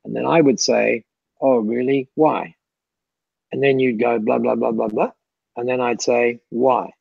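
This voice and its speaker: A man's voice: medium pitch, steady tone, measured pace, gravelly, husky, no echo. A man answering questions as a guest speaker in chatty, repeating speech that breaks into laughs, on a dull lo-fi call recording. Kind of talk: mentoring Q&A on a call.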